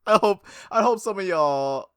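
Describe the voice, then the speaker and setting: A gaming host reading visual novel text aloud and commenting on it casually, in an animated, playful, slangy way. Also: medium pitch, unhurried, clean tone, no room sound, clean studio sound.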